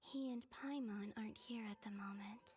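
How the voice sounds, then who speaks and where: A woman voicing a gentle, anxious young woman in a video game, earnest and careful, in hushed breathy tones as if talking to herself. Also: medium pitch, normal speed, lightly acted. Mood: worried, hopeful. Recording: music behind the voice.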